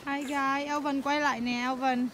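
A woman's voice: high-pitched, level tone, moderate pace, a bit bright, a little hiss or hum. A woman filming her family's camping trip, chatting cheerfully and switching between Vietnamese and English from behind the camera. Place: outdoor campsite among trees.

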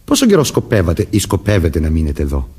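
An actor playing a suspicious police sergeant in a Greek radio play, questioning with probing insistence and a husky voice. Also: male voice, low voice, huge pitch swings, dark tone, heavily acted. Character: suspicious, persistent male police sergeant interrogating suspects. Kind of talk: Greek radio theatre acting.

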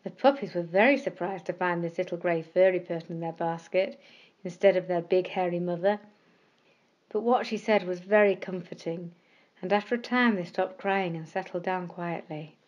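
A woman narrating scripted bedtime stories for children in a measured, expressive storytelling manner. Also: fairly low pitch, lo-fi sound.

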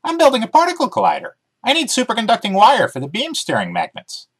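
Storybook pet dog, female, voiced by a man: high, eager, argumentative, very animated. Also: fast, husky, exaggerated voice. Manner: excitable, argumentative.

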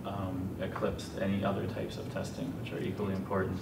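A man speaking as a panelist in a discussion, with a measured, hesitant delivery. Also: completely flat delivery, creaky. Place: hotel conference room. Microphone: distant room microphone. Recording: distant, echoey room recording with rumbling noise.

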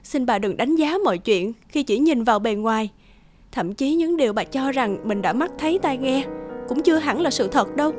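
A woman's voice: high voice, expressive, moderate pace, darkish tone, faint music behind. A woman playing a grieving, bitter young daughter in a cartoon drama, emotional and earnest. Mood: earnest, pleading. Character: grieving, bitter young daughter defending her dead father. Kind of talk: dubbed cartoon drama acting.

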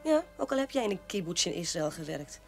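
An actress playing a mocking, sharp-tongued sister in a radio play, needling and scornful. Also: female voice, on the low side, very animated, fairly quick, faint music behind. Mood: scolding, insistent.

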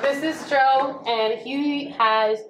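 A woman's voice: high voice, fairly even intonation, takes it slowly, slight background noise. A young woman hosting a casual gym vlog, playful and laughing often as she talks between sets in a large indoor gym. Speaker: fitness vlogger, host. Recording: roomy gym with loud music behind.

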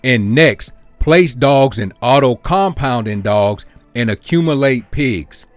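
A man hosting his own channel, explaining an investing strategy step by step over a screen recording in a steady, explanatory way. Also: medium pitch, takes it slowly, no echo, soft background music. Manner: steady, explanatory, walks through figures step by step. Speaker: crypto channel host. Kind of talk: screen-share walkthrough narration.

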